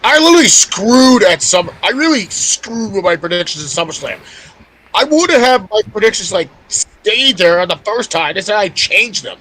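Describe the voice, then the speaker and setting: A man's voice: sits high, big pitch swings, moderate pace, pushed and bright, rough recording. A man in a casual panel chat, insistent and repeating himself to make his point. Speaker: podcast panelist.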